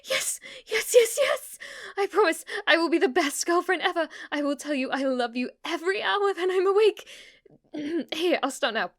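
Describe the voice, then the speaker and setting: A woman's voice: very high voice, lively intonation, normal speed, dry recording, studio quality, pitched above the performer's own voice. A woman voicing an obsessive, lovestruck witch in an intimate ASMR roleplay, with a hesitant, gushing way of talking. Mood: ecstatic, gushing. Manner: hesitant, rambling, gushing, giggly delivery. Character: lovestruck yandere witch, obsessive, shy yet giddy, teasing.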